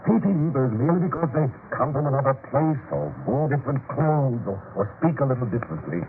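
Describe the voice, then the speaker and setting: A man's voice: mid-range voice, animated, fast talker, close to an everyday voice. Fervent disciple on scratchy old radio played by a man. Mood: righteous indignation. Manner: earnest, declamatory. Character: fervent, indignant young male disciple demanding vengeance. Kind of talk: 1940s radio drama acting. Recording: scratchy, noisy, phone-like 1940s radio recording.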